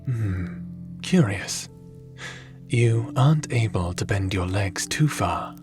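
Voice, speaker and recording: A low-pitched, seasoned adventurer played by a man in an ASMR audio drama, with music underneath. He is wry and caring, speaking softly with measured, gently theatrical phrasing. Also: lively intonation. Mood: gentle, attentive. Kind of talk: ASMR audio roleplay acting.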